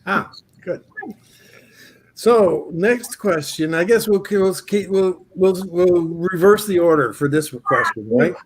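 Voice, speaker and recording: A male host with a slightly high-pitched voice leads a relaxed interview over a video call. He talks chattily and often stops for "uh" and restarts his sentences. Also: slightly rough. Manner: chatty, many filler pauses and restarts. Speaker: talk show host.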